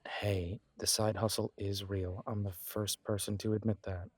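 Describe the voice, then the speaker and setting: Guy acting as ur supportive best guy friend in an audio rp, lowish voice, super dry close sound, earnest n self-deprecating, chatty. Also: flat delivery, breathy edge, no room sound. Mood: wry, candid. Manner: earnest, encouraging, conversational with pauses. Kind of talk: casual conversational roleplay audio drama.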